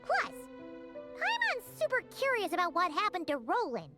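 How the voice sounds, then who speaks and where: A woman's voice: very high-pitched, huge pitch swings, normal speed, heavily acted. A woman voicing a tiny, chirpy fairy companion girl who talks about herself in the third person, in video game dialogue. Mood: curious. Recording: game music loud underneath. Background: game music.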